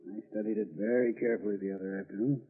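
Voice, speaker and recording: A man's voice: lowish voice, fairly even intonation, rapid-fire, close to an everyday voice. An actor playing an easygoing, self-assured salesman who secretly cracks safes, in a 1940s radio drama with an old tinny, echoing sound. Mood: businesslike, impatient.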